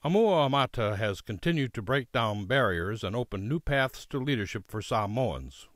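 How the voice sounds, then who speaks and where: Low, expressive male documentary narrator. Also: dry recording, studio quality. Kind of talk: scripted narration.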